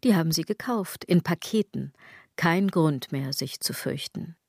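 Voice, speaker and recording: Sardonic aging woman, lowish voice, dry close studio sound, declamatory, ironic, radio play. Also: expressive, a little slow, a little mellow, husky, no room sound, full character voice. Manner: ironic, declamatory, wordplay-laden delivery. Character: sardonic aging woman musing on fashion and consumerism. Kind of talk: literary radio drama monologue.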